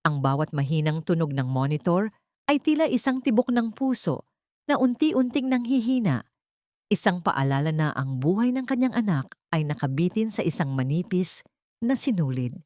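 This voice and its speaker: Low-pitched Filipino woman narrating drama. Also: sing-song, moderate pace, darkish tone, no room sound, clean studio sound. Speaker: audiobook narrator. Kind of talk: dramatic scripted story narration.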